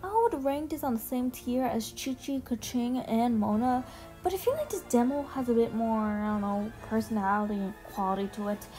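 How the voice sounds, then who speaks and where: Higher-pitched, mellow young woman commenting casually. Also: animated, normal speed, low-quality recording. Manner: informal, slangy, emphatic. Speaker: video creator ranking game trailers. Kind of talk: casual opinion commentary, voice-over. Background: music under the voice.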